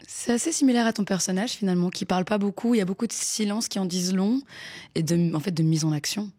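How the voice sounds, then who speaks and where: A woman's voice: mid-range voice, fast, mellow. A woman hosting a conversational radio interview in a studio.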